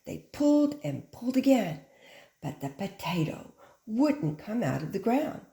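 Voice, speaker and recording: A woman reading a picture book aloud like a teacher, with simple, instructive phrasing and expressive stress on key words. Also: mid-range voice, a little slow, gravelly. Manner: simple, instructive phrasing aimed at young children. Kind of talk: animated children's picture book read-aloud. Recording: echoey room.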